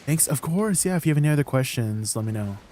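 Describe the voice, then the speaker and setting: A man's voice: fairly high pitch, animated, fast talker, mellow, slight background noise. A man who grew up in Canada and whose first language is Tagalog, live-streaming a game. He chats casually, laughs often, and explains controls with plenty of ums. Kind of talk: casual live-stream chat and gameplay tips.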